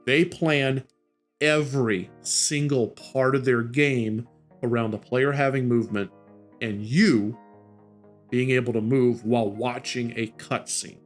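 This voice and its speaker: A man's voice: medium pitch, steady tone, unhurried. A man co-hosting a casual advice talk, speaking in a blunt, opinionated way. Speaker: co-host of a game-making show. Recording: music behind the voice. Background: background music.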